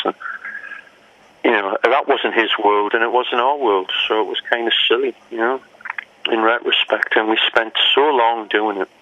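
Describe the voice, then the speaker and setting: Older man, bright forceful voice, lowish pitch, lively anecdotes, dull lo-fi audio. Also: dry recording. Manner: lively storyteller who mimics others' voices and laughs often. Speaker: rock musician interviewee. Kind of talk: casual interview chat.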